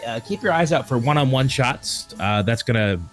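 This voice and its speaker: A man's voice: mid-range voice, animated, moderate pace, soft background music. A man hosting a casual stream as a game master, making announcements in a rambling, joking way that often interrupts itself. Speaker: host and tabletop game master.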